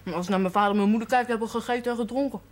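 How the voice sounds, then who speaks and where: Casting: actress for a plain-spoken, cheeky, stubborn village girl in period TV drama, somewhat high voice. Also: very fast, lightly acted. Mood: blunt, defiant. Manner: blunt, artless. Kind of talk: television period drama acting.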